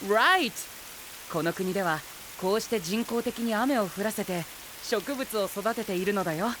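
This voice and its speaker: A man playing a flamboyant, pompous young gentleman knight in an anime audio drama, dropping English exclamations theatrically. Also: high-pitched, measured pace, noisy background. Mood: proud, grandiose.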